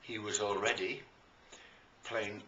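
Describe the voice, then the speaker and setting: A British man speaking as a coach promoting his services in an informal, enthusiastic and emphatic talk with deliberate pauses, recorded in a roomy space. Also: on the low side, fairly even intonation, highs cut off. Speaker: life and business coach promoting own services. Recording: roomy echo, faint background noise.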